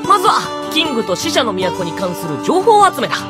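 A boyish outlaw captain with a high, bouncing voice. He gives orders breezily and casually in an animated fantasy game, music playing behind him. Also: a little fast, heavily acted. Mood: upbeat, commanding. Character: carefree boyish captain of outlaw knights, male.

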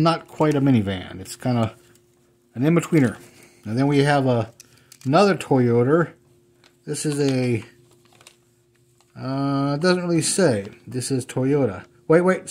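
A man's voice: mid-range voice, a little mellow. A middle-aged man chatting casually as he unboxes old toy cars at a table at home, thinking aloud as he handles each one. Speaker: toy car collector unboxing.

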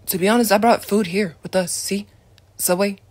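A woman voicing a calm, blunt teenage boy friend who talks in a flat, dismissive way in an ASMR roleplay. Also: medium pitch, normal speed, natural voice. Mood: dry.

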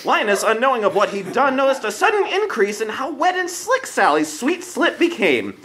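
A man narrates a comic story in a high, forceful, bright voice. His delivery is scripted and theatrical, that of a dramatic storyteller. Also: very animated, normal speed, a little hiss or hum.

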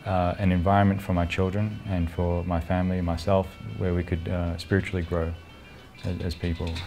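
A man from Australia speaking in a documentary interview, measured with filler sounds. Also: low-pitched, level tone, over music.

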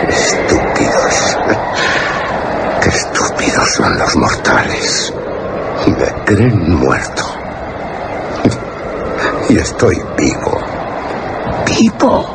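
Casting: gloating vampire lord for horror radio play; cavernously low, slow and sneering; heavily noisy recording. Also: male voice, huge pitch swings, gravelly, full character voice. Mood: gloating, scornful. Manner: theatrical, sneering, drawn-out delivery with sinister laughter. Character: gloating undead vampire lord, scornful, thirsting for blood. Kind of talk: radio horror drama acting. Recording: very noisy old radio drama.

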